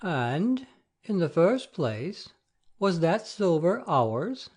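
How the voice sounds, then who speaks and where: A woman playing a gentle, grave elderly male bishop with a deliberate, mild delivery in a classic audiobook drama. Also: fairly low pitch, big pitch swings, slightly rough, heavily acted. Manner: deliberate, mild, pausing between phrases.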